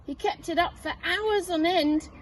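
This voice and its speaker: Woman, high voice, slow pace, expressive storybook narration, teacher reading aloud, distant mic. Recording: distant mic, faint noise.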